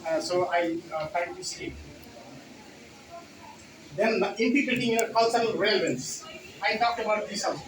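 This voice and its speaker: A man's voice: high-pitched, normal speed, long pauses, background noise. Man with a Nepali accent, far-off roomy hall. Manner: frequent "you know" fillers, repeats key words. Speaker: conference speaker on English teaching. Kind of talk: conference talk.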